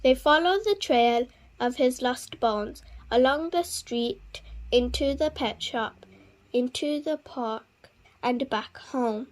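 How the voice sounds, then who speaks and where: A woman reading a children's story aloud expressively, with deliberate, dramatic emphasis on repeated words. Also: high voice. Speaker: children's book reader.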